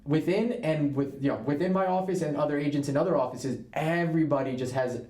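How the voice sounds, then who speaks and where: Fast-talking young man, echoey room. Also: fairly high pitch, mellow. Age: young adult. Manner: chatty, enthusiastic storyteller. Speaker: co-host, real estate agent. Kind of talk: casual chat.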